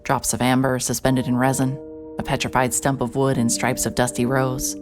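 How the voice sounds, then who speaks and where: A woman playing a hard-hearted, stubborn private investigator in an audio drama, narrating in a wry, terse, deadpan way. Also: rumbling low, moderate pace, music in the background. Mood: brooding, observant. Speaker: narrator and lead actor.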